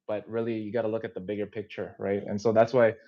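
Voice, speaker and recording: A man's voice: lowish voice, flat delivery, quick pace. A man hosting a podcast interview, chatting casually and rambling with lots of fillers and restarts. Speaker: podcast host. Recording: dull muffled lo-fi audio.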